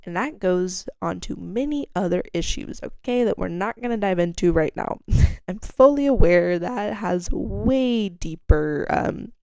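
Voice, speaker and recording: Young woman, gravelly, mellow tone, giggly rambling chat, swears freely, dry close sound. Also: lively intonation, a little slow, no echo. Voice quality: gravelly low-toned voice. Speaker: podcast host. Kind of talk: casual solo chat.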